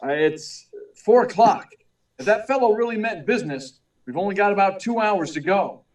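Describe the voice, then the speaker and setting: An actor playing a meek, earnest young newspaper reporter in a 1940s-style radio serial, hesitant and polite, recorded at a distance. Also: male voice, high-pitched, animated, normal speed, darkish tone. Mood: eager, polite. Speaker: actor in a live radio play. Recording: distant, far from the mic.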